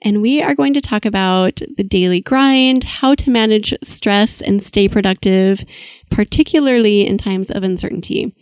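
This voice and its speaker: A woman's voice: medium pitch, normal speed, a little mellow, clean tone, no room sound. A woman hosting an interview podcast, introducing her guest with enthusiasm. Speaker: podcast host.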